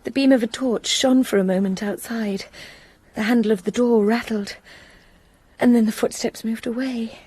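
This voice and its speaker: A young woman telling of her ordeal in a radio mystery. Her voice is breathy and a little high, and the recording sounds dry and close. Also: fairly even intonation, normal speed, dark tone, dry recording. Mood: recounting. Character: young woman recounting her kidnapping, Celie. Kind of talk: radio drama acting.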